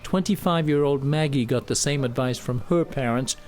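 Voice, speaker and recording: Measured male news correspondent. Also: mid-range voice, a little hiss or hum. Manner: measured, even reporting delivery. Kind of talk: scripted news narration.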